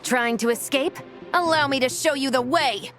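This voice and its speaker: An actress playing a cool, confident patrol fighter in a video game, controlled, dry and taunting. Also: female voice, sits high, normal speed, a bit bright, soft background music.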